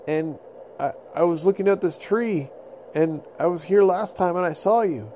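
A man voicing a gentle, polite little boy adventurer, hesitant and earnest, in a children's story. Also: sits high, moderate pace, a little hiss or hum, voice pushed up, lightly acted. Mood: shy, earnest.

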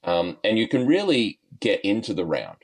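Guest spot: very low-voiced Australian man, middle-aged, laid-back and chatty, heavy on fillers. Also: very animated, moderate pace, husky. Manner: easygoing, lots of ums and uhs, chuckles often. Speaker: golf coach and guest. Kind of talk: podcast interview, relaxed storytelling.

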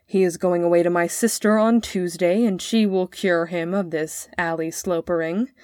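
A woman's voice: fairly low pitch, steady tone, normal speed, mellow, dry recording, lightly acted. Lady putting on a grim sarcastic dad from a storybook, emphatic and mocking, very dry sound. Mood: grim mockery. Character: stern, mocking father, pleased with his own wit.

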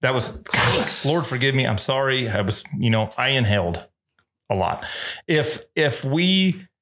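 A man's voice: mid-range voice, lively intonation, a little slow, fairly bright tone. A man hosting a casual interview, asking rambling questions and joking between them. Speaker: podcast host.

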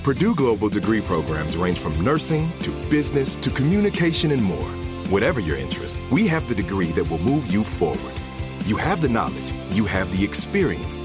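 A man reading a scripted commercial in an earnest, motivational way. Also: on the low side. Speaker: ad announcer.

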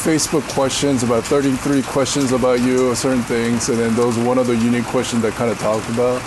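Deep-voiced man answering questions in the rain. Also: level tone, fast, background noise. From Korea. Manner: rambling, filler-heavy, laughs easily. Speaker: interview guest. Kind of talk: casual interview answers.

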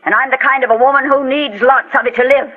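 A woman's voice: high voice, steady tone, fast. An actress playing a greedy, murderous society woman in an imperious, menacing manner in a 1940s radio drama. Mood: haughty, boastful. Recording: tinny, band-limited 1940s radio recording.

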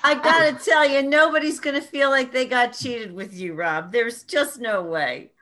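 A woman's voice: high voice, moderate pace, pushed and bright, slight background noise. A woman interviewing a guest, laughing easily and encouraging him. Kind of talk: casual interview.

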